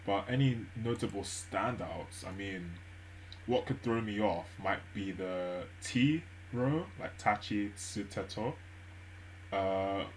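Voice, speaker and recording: Low-voiced man thinking aloud while studying. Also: takes it slowly, slight background noise, low-quality recording. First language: English. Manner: thinks aloud with frequent fillers and restarts, soft gentle pace. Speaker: language learner live-streaming a study session. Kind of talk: relaxed study stream narration.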